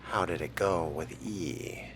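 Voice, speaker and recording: Man performing a male vampire fledgling, smug and dry, unhurried muttering, deep voice, game role-play. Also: noisy background. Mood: calm, kind.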